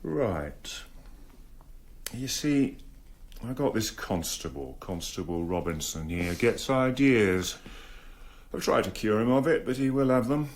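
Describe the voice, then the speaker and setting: Sly police inspector with swooping delivery. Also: male voice, medium pitch. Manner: measured questioning with mock-polite pressure. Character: dogged, sly, sarcastic English police inspector. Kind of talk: radio drama acting.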